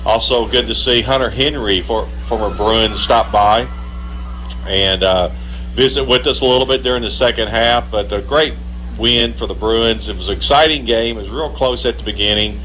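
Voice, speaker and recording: Sportscaster over noisy live hum. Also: male voice, lowish voice, normal speed, sharp tone. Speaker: sports radio broadcaster. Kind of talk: post-game football commentary. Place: live broadcast at a football stadium.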